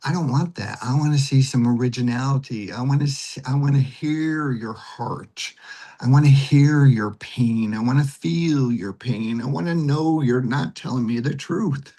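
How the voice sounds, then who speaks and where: A man talks in an interview as an acting teacher and director. His voice sits at a middle pitch with a slightly rough, unpolished edge. He explains in a conversational but emphatic way, repeating short phrases to make his point. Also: normal speed, mellow.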